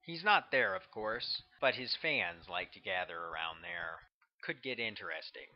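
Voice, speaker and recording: A man's voice: sits low, expressive, measured pace, voice pushed down. Man reading as an offhand government agent, lo-fi. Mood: explaining, brisk. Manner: offhand, explanatory. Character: brisk, offhand male government agent in bomber jacket.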